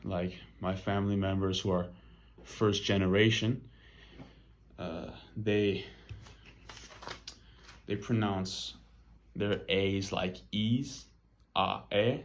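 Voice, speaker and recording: A man's voice: low-pitched, steady tone, slow pace, long pauses. A young man from Los Angeles with an LA accent, giving a casual class presentation, loose and slangy with lots of fillers. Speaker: student presenter.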